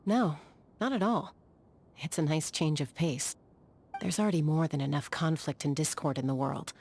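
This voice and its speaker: A woman playing a calm, battle-hardened young sky gunslinger in a video game, measured, thoughtful and matter-of-fact, with a dry edge. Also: low-pitched. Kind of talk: video game character voice lines.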